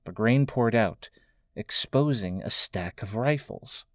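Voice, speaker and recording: A man's voice: fairly low pitch, expressive, slow, dry recording, clean studio sound. A man reading scripted narration for an audio drama, in a storytelling style. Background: faint music.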